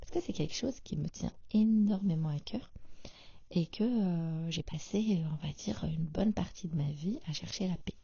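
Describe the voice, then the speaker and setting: Woman podcast host, dark husky voice, soft hesitant confiding delivery, dry dull recording. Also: fairly low pitch, moderate pace, no room sound. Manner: soft, half-whispered and hesitant, with many fillers. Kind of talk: intimate personal monologue.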